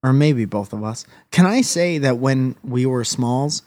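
A man's voice: mid-range voice, animated, measured pace, a little mellow, no room sound. A man co-hosting a podcast, chatting casually in a playful, rambling way and swearing now and then. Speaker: podcast co-host. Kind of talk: casual banter.

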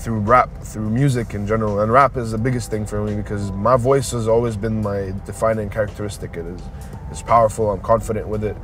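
A man's voice: lowish voice, steady tone, fairly quick, a bit bright, faint music behind. A man of about twenty who grew up in Dubai, a rapper speaking reflectively and laughing often in a casual interview.

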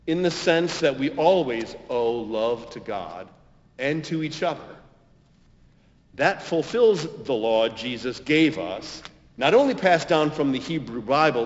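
A man's voice: fairly high pitch, sing-song, a little hiss or hum. American male pastor preaching in a reverberant hall. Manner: measured, deliberate phrasing with emphatic stresses and pauses. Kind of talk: sermon, homily. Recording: dull, reverberant hall recording.

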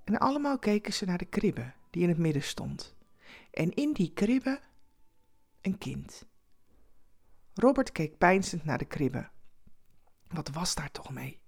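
A woman's voice: medium pitch, sing-song, measured pace, vocal fry, pauses often, a little mellow. A woman storyteller reading a scripted Christmas tale as dramatic narration.

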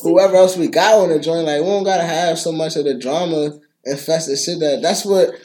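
A man's voice: a little high, normal speed, a little mellow. A man co-hosting a podcast in casual chat, slangy, profane and opinionated. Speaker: podcast co-host.

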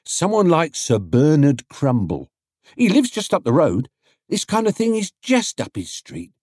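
Market trader, played by a male reader: opinionated, folksy storybook busybody, raised pitch, very lively delivery. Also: a little slow, slightly rough, no room sound, clean studio sound, exaggerated voice. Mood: advising. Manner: folksy, colloquial, emphatic. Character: chatty, opinionated male market stallholders, full of advice.

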